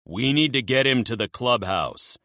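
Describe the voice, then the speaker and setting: A man voicing a calm male biker who speaks slowly and stays level. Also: a bass voice, gravelly, slightly rough, dry recording, clean studio sound, heavily acted. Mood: urgent. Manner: measured, slow.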